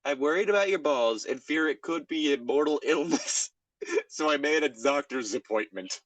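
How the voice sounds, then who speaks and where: A man's voice: on the high side, animated, moderate pace, a bit bright, no room sound, rough recording. A man reading a story aloud and bantering casually, in an amused, exasperated way, stumbling now and then. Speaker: podcast co-host reading a fan fiction aloud.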